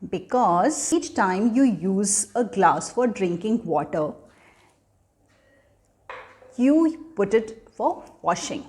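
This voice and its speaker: A woman giving an educational talk for children, speaking in a deliberate, teacherly manner as a storyteller and host. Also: medium pitch, expressive, darkish tone. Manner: teacherly, deliberate, asking questions then answering them.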